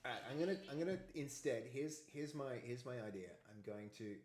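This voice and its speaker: Loud-voiced man streaming live. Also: medium pitch, lively intonation, brisk. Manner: loud, big voice; rambling, repeats himself. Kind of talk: casual live-stream chat.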